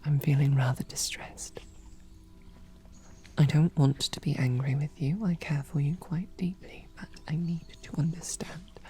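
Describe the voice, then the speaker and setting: A woman playing a composed, sarcastic female royal knight who speaks formally and often softly, close to a whisper, in an audio roleplay. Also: sits low, fairly even intonation, measured pace, faint music behind. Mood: hurt, pleading.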